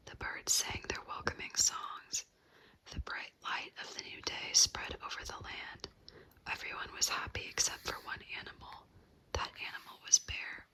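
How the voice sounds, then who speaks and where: A woman narrating an animal bedtime story in a soft, unvoiced whisper. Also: rough recording.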